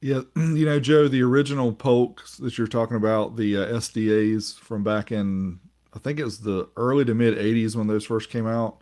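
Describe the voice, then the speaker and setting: A man's voice: fairly low pitch, steady tone, normal speed, darkish tone. A man co-hosting a podcast, explaining details in a casual, slightly unsure way. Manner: informative, a bit unsure. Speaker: podcast co-host. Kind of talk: casual chat.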